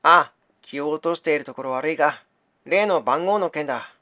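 A man playing a blunt, brotherly best friend in a game, talking in rough casual speech. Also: fairly high pitch, moderate pace, sharp tone, no echo, clean studio sound. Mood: concerned. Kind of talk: game dialogue reading.

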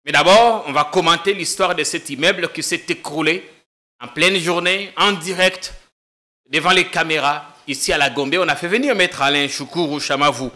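A man in his thirties hosting a political talk show in a TV studio, questioning his guest briskly. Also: a little high, sharp tone. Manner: brisk, probing interviewer. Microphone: desk microphone.

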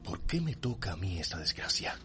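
Radio drama: troubled man of conscience, low register, agonised self-questioning, music loud underneath. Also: very animated. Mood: anguished. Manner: dramatic inner monologue with pauses. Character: anguished man of conscience forced to obey a cruel master. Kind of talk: radio soap opera acting.

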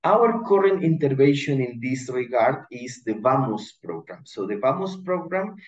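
A man in his thirties from Ecuador, giving a measured panel presentation over a video call, with frequent restarts. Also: mid-range voice, very animated, a little mellow, muffled recording. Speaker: education manager, panelist. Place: indoor room. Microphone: video call webcam.

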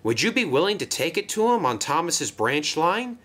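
A man voicing a busy, friendly male dockside engine in a children's train story, hurried but polite. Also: a little high, big pitch swings, a bit bright, voice pushed up.

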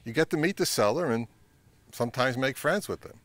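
A middle-aged man giving a measured, instructional talk to camera as a vlog host, recorded outdoors. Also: mid-range voice, lively intonation, fairly bright tone, no echo. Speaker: vintage audio channel host. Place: outdoors by a pond and on a wooded trail.

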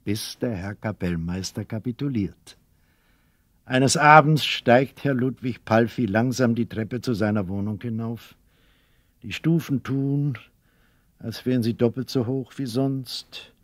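A man's voice: medium pitch, unhurried, no room sound, studio quality. A man acting as the narrator of a children's radio play, telling the story in scripted narration. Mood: measured narration. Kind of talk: scripted audio-drama narration.

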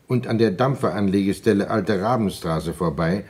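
A matter-of-fact male storyteller narrates an experimental radio drama. His voice is low and somewhat husky. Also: moderate pace, darkish tone. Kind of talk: 1970 German radio play narration.

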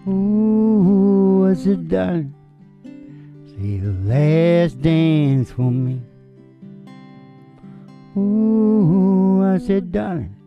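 A performer hosting a live acoustic country music show, with guitar in a lo-fi recording. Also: medium pitch. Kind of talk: casual joking stage banter between acoustic country songs.

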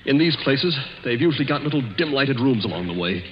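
An actor playing an anxious, embittered young chemist in a vintage radio drama, halting and intense. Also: male voice, mid-range voice, big pitch swings, music in the background, lightly acted. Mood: tense, hushed. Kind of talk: 1940s radio drama acting. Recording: muffled, noisy, reverberant vintage radio recording.

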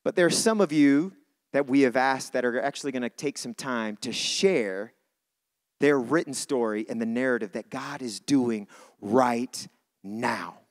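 A man's voice: medium pitch, measured pace. A man preaching a church sermon in an informal, conversational way, repeating phrases for emphasis. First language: not English (says English is not his first language).

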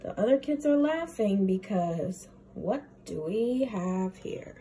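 A young woman teacher reading a storybook aloud to children, with playful, expressive comments. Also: mid-range voice, takes it slowly, slight background noise. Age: young adult.

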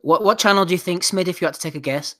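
A man hosting a relaxed boxing chat podcast, his voice sitting a little higher than most men's. He moves things along briskly and reads out listener questions, and he sounds slightly hoarse. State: losing his voice. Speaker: podcast host.

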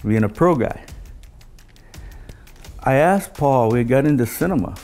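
An older man telling stories in a casual, halting way, as an engineer looking back in an interview. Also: mid-range voice, animated, a little mellow, faint music behind. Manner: halting, anecdotal storytelling with quoted dialogue. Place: living room of a home, indoors.

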